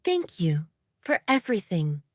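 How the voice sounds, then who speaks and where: A woman voicing a hesitant, inexperienced young woman with a soft voice in a werewolf romance audio drama. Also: on the low side, very animated, measured pace, dry recording, clean studio sound. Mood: soft, tentative.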